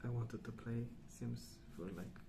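A man speaking softly and breathily in an ASMR style, often near a whisper, slow and calm with hesitant pauses between short phrases. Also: mid-range voice. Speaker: ASMR host. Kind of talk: soft-spoken rambling ASMR. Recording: clear background noise.

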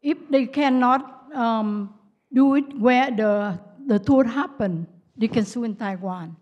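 Woman panelist, lots of um and uh, kinda high voice, bit muffled sound. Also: slow pace, a little mellow. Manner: hesitant, many fillers and restarts, broken English. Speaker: panel speaker. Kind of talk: answering audience questions. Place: conference ballroom. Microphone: stand mic close up.

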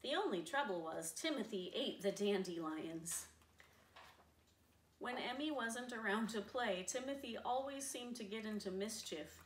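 A middle-aged woman reads a picture book to children with expressive delivery. Her voice is medium in pitch. It is picked up from across a roomy living room, with faint noise in the recording. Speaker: read-aloud storyteller. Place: living room, seated on a sofa. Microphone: camera a few metres away. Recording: roomy, distant camera mic, faint noise.

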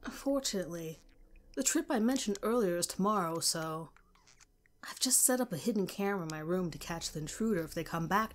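A woman reading a scripted first-person story as a narrator. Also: fairly low pitch.

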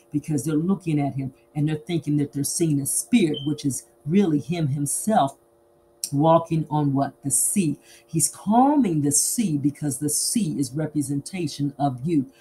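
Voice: medium pitch, dark tone, a little hiss or hum, rough recording. A preacher delivering an emphatic sermon, repeating phrases and asking rhetorical questions then answering them.

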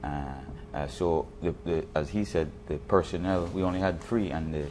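A man's voice: low voice, steady tone, moderate pace, darkish tone, soft background music. A man, explaining things as a guest in a studio interview and repeating words as he goes. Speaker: basketball league official, interview guest. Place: TV studio set, indoors. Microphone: lapel.

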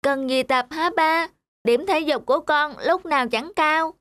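A woman's voice: high voice, a little slow, a bit bright. A woman voicing a cocky, stubborn little schoolboy in a cartoon, sulky and boastful. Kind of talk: cartoon voice acting. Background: music.

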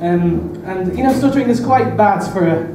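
A man's voice: sits high, animated, moderate pace, over music. An eighteen-year-old man doing a stand-up set, who stutters and repeats words, nervous and self-mocking, chuckling at his own jokes. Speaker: stand-up comedian. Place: small dark club stage. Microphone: handheld mic, filmed from far in the room. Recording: echoey club room taped from far off, loud room noise.

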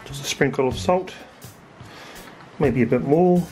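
A man in his forties with a New Zealand accent, a little hoarse, chatting casually as he cooks and tastes food in his home kitchen. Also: mid-range voice, a little slow, soft background music, low-quality recording. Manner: relaxed, chatty, thinking aloud with fillers. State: slightly hoarse, losing his voice. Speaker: home cook vlogger. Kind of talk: casual cooking commentary.

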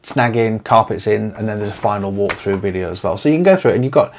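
Gravelly-voiced man with a low voice. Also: quick pace, slight background noise. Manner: rambling, with many fillers and restarts, leafing through papers. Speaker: property investment company owner. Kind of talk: casual to-camera video talk. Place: home office with desk and monitors.

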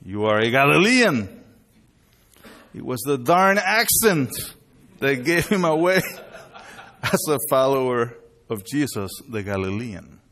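A middle-aged man, born in Chile and raised in Panama, preaching at a lectern in a measured, deliberate way. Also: mid-range voice, very animated, a bit bright. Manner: measured, deliberate delivery with bilingual openings. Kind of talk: sermon at a worship conference. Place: indoor hall with a screen. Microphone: lectern mic, far camera.

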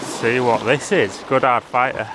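A young man filming himself fishing from sea rocks, chatting excitedly as he reels in fish, recorded on a head-mounted action camera. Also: mid-range voice, unhurried. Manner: excitable, laughing, chatty while reeling in fish. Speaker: angler filming his own fishing trip. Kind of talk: casual running commentary. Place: outdoors on rocky sea shore by waves. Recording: action camera with loud wind and surf.

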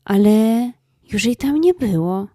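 A woman narrating a children's story in a calm, scripted storytelling style. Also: a little high, slow, no room sound, clean studio sound.

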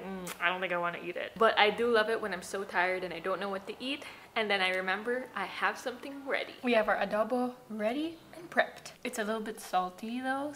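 A woman's voice: mid-range voice, fairly bright tone, slight background noise. A woman in her twenties vlogging casually at home, chatty and giggly, talking into a handheld camera in her kitchen. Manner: chatty, giggly, with frequent "like" fillers. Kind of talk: casual vlog chat. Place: home kitchen and dining room, indoors. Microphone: handheld camera held at arm's length.

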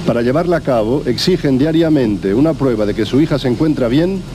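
Male spokesman, mellow, formal read statement, faintly noisy archive tape. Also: mid-range voice, animated, brisk.

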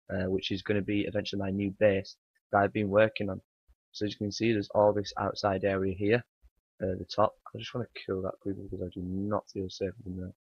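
A man with a croaky morning voice, rambling through casual gameplay commentary with many ums and uhs. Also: low voice, no echo. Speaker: gaming channel host. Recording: dry, dull lo-fi home mic.

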